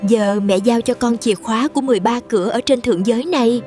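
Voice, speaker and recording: A woman's voice: on the high side, fast, mellow, faint music behind, natural voice. A woman voicing a regal heavenly mother in a storybook, caring but stern, with a solemn, commanding manner. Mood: gentle warning.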